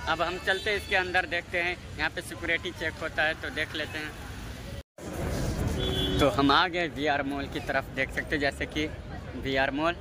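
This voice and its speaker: A man's voice: a little high, fairly even intonation, moderate pace, low-quality recording. A young man, a native Maithili speaker, chatting casually to his phone as he walks, narrating his vlog in an informal, repetitive way. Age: young adult. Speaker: vlogger. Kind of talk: casual vlog chat to camera. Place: outdoors by roads and inside a large busy mall. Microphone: phone at arm's length. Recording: loud street and mall ambience, handheld. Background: loud urban ambience and vehicles.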